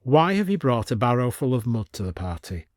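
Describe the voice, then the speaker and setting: A man voicing a breezy, sardonic, curious woman with a lively manner in a story reading. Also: medium pitch, a little fast, no room sound, studio quality, close to an everyday voice.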